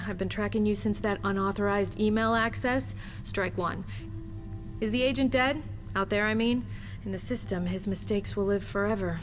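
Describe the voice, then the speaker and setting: An actress playing a snarky, misanthropic sysadmin in a video game, flippant, deadpan and slangy. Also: female voice, medium pitch, lightly acted. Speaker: systems administrator. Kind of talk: scripted video game dialogue.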